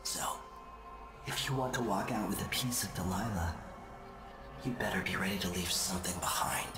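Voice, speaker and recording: An actor playing an enigmatic, knowing otherworldly male being in a video game, with a deliberate, detached delivery. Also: deep voice, natural voice. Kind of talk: video game cutscene acting. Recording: echoing space, music clearly underneath.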